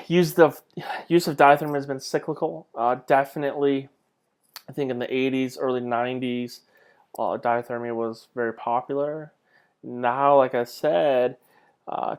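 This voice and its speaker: A man giving an informal slide lecture in a conversational way, with rhetorical questions and self-deprecating asides. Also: medium pitch. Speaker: lecturer, clinical instructor.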